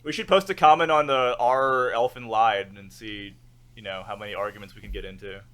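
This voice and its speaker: A man's voice: fairly low pitch, fairly quick, a bit bright. A man co-hosting a casual podcast, joking and swearing freely with his friends. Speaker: podcast co-host.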